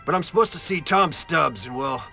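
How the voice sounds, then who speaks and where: An actor playing a sardonic, foul-mouthed outlaw biker in a video game, blunt and sarcastic, with an American accent. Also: male voice, on the high side, expressive, normal speed, vocal fry, pushed and bright, soft background music, heavily acted. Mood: mocking.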